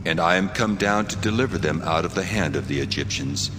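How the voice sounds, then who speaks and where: A man's voice: low voice, brisk, noisy background, natural voice. A man playing God in a dramatized scripture reading, a solemn and commanding divine voice. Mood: solemn, resolved. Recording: long cavernous reverb, music underneath. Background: music.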